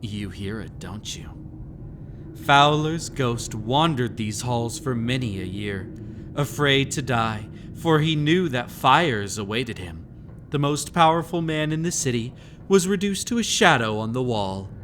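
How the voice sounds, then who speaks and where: A man's voice: mid-range voice, expressive, fairly bright tone. Man playing a teasing, sinister haunted-house keeper, background noise. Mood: gloating menace. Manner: teasing, drawn-out words, theatrical asides to the listener. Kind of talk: scripted immersive audio roleplay, storytelling.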